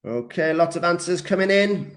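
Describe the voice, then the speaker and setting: A man from Wales teaching a live English class, deliberate and encouraging, repeating key words for learners as he responds to comments. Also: high voice, expressive. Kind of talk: live grammar lesson, reacting to comments.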